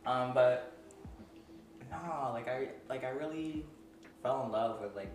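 A young man from Philadelphia hosting a casual chat with a friend, chatty and quick to laugh, loose with slang. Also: medium pitch. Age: young adult. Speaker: podcast host. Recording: echoey room, mic a bit far, steady background noise.

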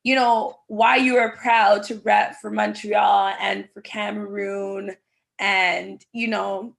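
Creaky-voiced woman hosting a casual interview. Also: medium pitch, little pitch movement, takes it slowly. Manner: hype, slangy, encouraging. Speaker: interview host.

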